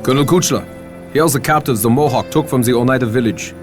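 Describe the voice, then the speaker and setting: An actor playing a brisk soldier reporting to his officers in a video game cutscene. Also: medium pitch, close to an everyday voice. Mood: dutiful. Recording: music under the dialogue.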